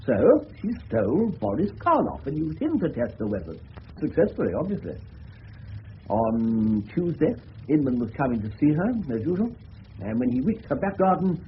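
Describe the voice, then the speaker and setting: An actor playing a genial, avuncular English police detective in a radio drama, courteous and explanatory with a slightly hesitant delivery. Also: male voice, medium pitch, lightly acted. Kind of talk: 1970s British radio drama acting. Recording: muffled old radio recording with hiss.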